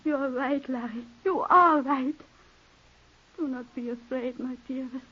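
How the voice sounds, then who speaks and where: A woman's voice: sits high, measured pace, husky. An actress playing a devoted young foreign woman in love, earnest and pleading, in a 1940s radio drama. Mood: tender, earnest. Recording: dull 1940s radio broadcast recording.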